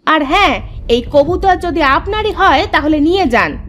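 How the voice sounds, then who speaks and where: Woman playing a lively, high-voiced village wife. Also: moderate pace, a little hiss or hum. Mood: defiant, teasing. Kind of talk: Bengali cartoon story voice acting.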